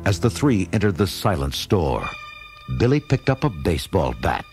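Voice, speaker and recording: Animated low-voiced man narrating a storybook. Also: moderate pace. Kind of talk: dramatic scripted children's read-along narration. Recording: loud music and effects behind narration.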